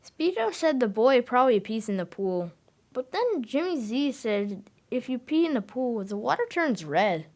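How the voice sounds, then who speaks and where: An actress playing a young, literal-minded boy in an audio drama, speaking in an earnest, halting, matter-of-fact way. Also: a little high, big pitch swings.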